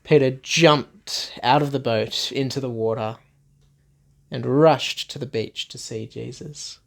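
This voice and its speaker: A man's voice: medium pitch, fairly even intonation, slow pace, gravelly. A man reading a Bible story aloud for children, expressively, in simple repeated phrases with questions to his young listeners.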